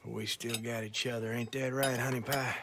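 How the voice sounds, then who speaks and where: An actor playing a folksy, overfriendly, creepy backwoods farmer in a video game, with a Southern American accent and a theatrical, effusive way of talking. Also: male voice, mid-range voice, flat delivery, fairly bright tone. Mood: doting. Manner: drawn-out, effusive, theatrical, giggly. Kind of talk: video game character acting.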